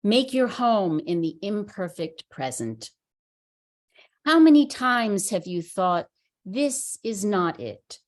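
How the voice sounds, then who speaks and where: Slow, measured woman reading poetry. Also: mid-range voice, big pitch swings. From United States. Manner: measured, unhurried recitation. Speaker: poet. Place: reading at a writers center.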